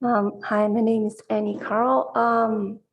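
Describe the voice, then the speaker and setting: A woman's voice: on the high side, level tone, takes it slowly, a little hiss or hum, poor audio. A middle-aged woman from Brazil, Portuguese her first language, answering interview questions hesitantly with many fillers over a video call. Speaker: commission applicant. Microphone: video call mic.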